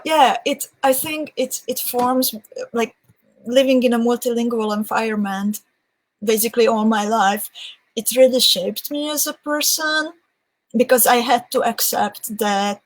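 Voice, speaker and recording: A young woman with a Hungarian accent chats on a live stream at a slow, easy pace. Her voice sits a little high, and she thinks aloud with restarts as she answers viewer comments. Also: poor audio. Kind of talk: casual live-stream chat.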